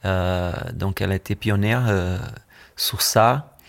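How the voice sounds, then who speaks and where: A Brazilian man speaking French with a Brazilian accent, a musician guest in a radio studio interview, pausing often to search for words. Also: low-pitched, vocal fry. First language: Brazilian Portuguese.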